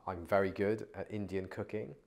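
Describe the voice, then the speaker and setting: A man from Wales speaking in a measured, thoughtful way in a documentary interview. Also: deep voice, steady tone, creaky, loud music behind the voice. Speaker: teacher interviewee.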